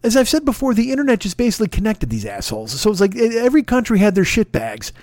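A man's voice: high voice, huge pitch swings, fast talker, a little mellow, dry recording, clean studio sound. A man hosting a podcast, delivering a profane, sarcastic comedic rant. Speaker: podcast host.

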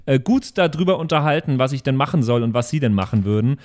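Male podcast host, medium pitch, conversational and colloquial, openly emotive. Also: lively intonation, fast, a little mellow. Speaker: podcast host and role-player. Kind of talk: casual podcast chat.

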